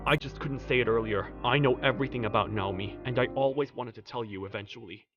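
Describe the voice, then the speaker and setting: A man's voice: mid-range voice, fast, dull audio, close to an everyday voice. An actor playing a devoted, sincere middle-aged single father in a manga dub, narrating and speaking in character. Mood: earnest. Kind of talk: scripted anime-style dub narration and dialogue. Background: music.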